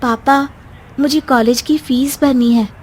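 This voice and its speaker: A defiant young daughter played by a voice actor in a family story, high-pitched and sarcastic. She argues back sharply over slight background noise. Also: fairly even intonation, unhurried. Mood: indignant. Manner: sarcastic, argumentative. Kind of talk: melodramatic Hindi family story dub.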